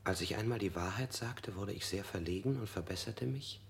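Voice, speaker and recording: A scared prisoner in a radio play, low-ish voice, keeps taking back what he said. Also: brisk, gravelly, a little hiss or hum. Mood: confused. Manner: hesitant, keeps correcting himself, sometimes whispering. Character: frightened interrogated man, confused, correcting himself. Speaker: interrogated man. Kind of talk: radio drama acting.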